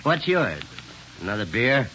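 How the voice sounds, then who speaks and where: Sharp, wisecracking bartender. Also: male voice, medium pitch, sing-song, measured pace, a little hiss or hum. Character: wisecracking, slangy male bartender in a seedy saloon. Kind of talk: 1940s radio drama acting. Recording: dull old radio broadcast recording.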